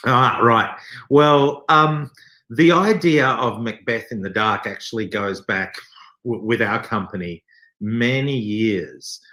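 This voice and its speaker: A man's voice: medium pitch, slow pace, sharp tone. An Australian man speaking as an interview guest from a theatre company, explaining things conversationally with hesitant asides. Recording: echoey room sound.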